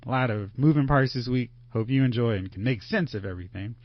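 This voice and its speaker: A man's voice: mid-range voice, quick pace. A man hosting a movie podcast, chatting casually and leading the panel with upbeat energy. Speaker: podcast host. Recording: dull lo-fi podcast audio.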